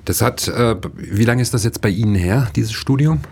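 A man's voice: mid-range voice, normal speed, creaky, darkish tone, slightly rough. A man conducting an interview, asking polite, probing questions with frequent fillers.

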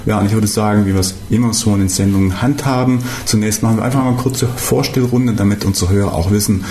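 A man hosts a studio radio interview, his voice low and warm. He hesitates, restarts and fills pauses as he talks. The room adds a noticeable echo. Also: slight background noise. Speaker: radio host. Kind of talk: radio talk show interview.

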